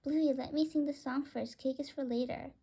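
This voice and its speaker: A woman's voice: sits high, fairly even intonation, moderate pace, creaky, dark tone. A woman playing a doting bird owner with a sing-song delivery in a children's story. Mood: celebratory. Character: doting female bird owner singing birthday greetings. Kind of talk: children's story read aloud.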